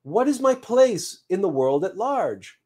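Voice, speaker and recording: Someone acting a bewildered, pleading storybook seed, lively intonation. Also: mid-range voice, a little slow, voice pushed up. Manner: archaic, courtly rhyming speech. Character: bewildered, gloomy buried seed, courteous and pleading. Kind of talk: storybook verse recital.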